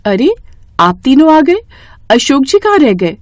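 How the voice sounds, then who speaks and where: Lady doing a sweet young bride, high and swoopy, theatrical, secretly sly. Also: big pitch swings, a little slow, no echo, clean studio sound.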